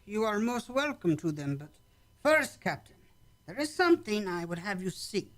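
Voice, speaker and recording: A calm and dignified alien villager , acted for a radio play, speaking in measured, formal phrases. The voice sits at a middling pitch and carries a stately, unhurried manner. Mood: solemn, welcoming. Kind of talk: radio drama acting.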